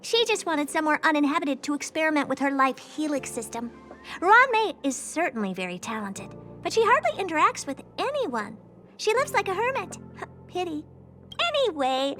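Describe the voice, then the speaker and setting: A woman's voice: very high-pitched, bright, forceful tone, exaggerated voice. A woman voicing a smug young genius girl scientist in a video game, haughty and offhand. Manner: haughty, self-satisfied, offhand. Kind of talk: scripted video game voice acting. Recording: game dialogue with music behind.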